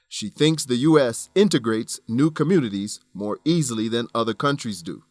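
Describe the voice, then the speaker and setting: Guy narrating an english lesson, mid pitch, clear teacher-like reading, no room sound. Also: no echo. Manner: instructional, clearly enunciated. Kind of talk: scripted educational narration.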